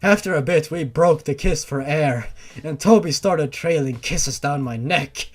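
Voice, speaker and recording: A man's voice: on the high side, unhurried. A man giving a theatrical, exaggerated comedic reading of fan fiction as narrator, breaking in with his own asides. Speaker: story reader.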